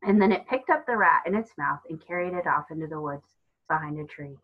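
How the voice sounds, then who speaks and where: A woman reads a children's novel aloud in a lowish voice with a slightly husky grain. She narrates as a storyteller, and the recording sounds a bit dull. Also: lively intonation, a little fast. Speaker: storybook reader.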